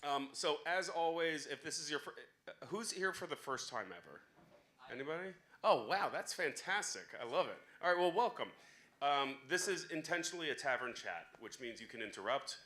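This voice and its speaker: A man's voice: on the high side, animated, normal speed, pushed and bright. A man co-hosting a live chat in a bar, welcoming the crowd in a chatty, upbeat way with many fillers. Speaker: co-host.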